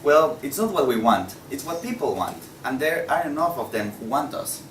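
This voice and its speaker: A man's voice: on the high side, very animated, normal speed. Argumentative magazine writer in an echoey room man acting. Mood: argumentative, wry. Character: argumentative male magazine writer defending poetry. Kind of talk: student table read of a stage play. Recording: distant echoey room, faint noise.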